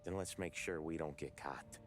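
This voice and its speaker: A deep-voiced, steady mobster crew leader. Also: male voice, moderate pace, over music. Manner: calm, blunt, commanding. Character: level-headed male mobster crew leader. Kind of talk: video game cutscene acting. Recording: game cutscene with loud score.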